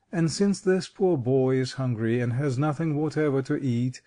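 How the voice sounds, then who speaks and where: A man's voice: a little high, warm tone. A man voicing a kindly living scarecrow king in a storybook, reflective, courteous and reassuring in manner. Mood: soothing, earnest.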